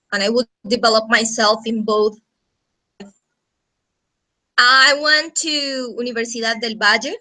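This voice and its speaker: A woman's voice: fairly high pitch, sharp tone, rough recording. A woman in her thirties from Colombia, a native Spanish speaker with a Colombian accent, informally introducing herself as a guest teacher on a video call. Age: 30s. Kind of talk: informal self-introduction to a class.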